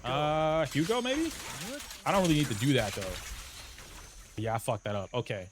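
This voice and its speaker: Brief: male streamer muttering through gameplay, lively pitch, faint background noise. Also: moderate pace. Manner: thinking aloud, casual. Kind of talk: playing a game on stream.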